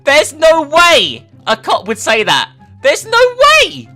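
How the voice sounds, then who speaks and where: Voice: sits high, huge pitch swings, slow pace, sharp tone, faint music behind. A person giving a theatrical dramatic reading of an online horror story, with sweary, mocking asides. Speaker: online video host.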